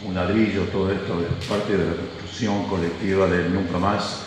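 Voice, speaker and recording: A man's voice: sits low, flat delivery, normal speed, noisy background. A man giving a rambling public speech at a book presentation, hesitant, with many fillers and restarts. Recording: echoey hall, from far off.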